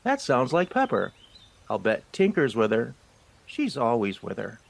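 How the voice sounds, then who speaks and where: A man's voice: mid-range voice, huge pitch swings, a little slow, gravelly, heavily acted. A man voicing a seasoned, practical field mouse mentor in an audio drama, instructive and matter-of-fact. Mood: reassuring. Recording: faint outdoor ambience with birdsong.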